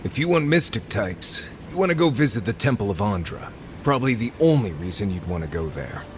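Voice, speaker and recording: A man's voice: fairly low pitch, sing-song, brisk, background noise. A man voicing a tough, sardonic adventuring companion in a video game.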